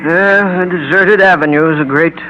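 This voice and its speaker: An actor playing a mild, courteous, hesitant gentleman neighbor in an old-time radio drama, speaking politely and haltingly. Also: high voice. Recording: dull, noisy vintage radio recording.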